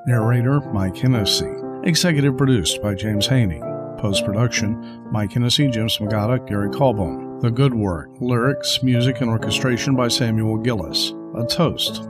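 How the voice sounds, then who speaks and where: A man giving scripted narration as the announcer of an audio drama. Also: mid-range voice. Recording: music behind the voice.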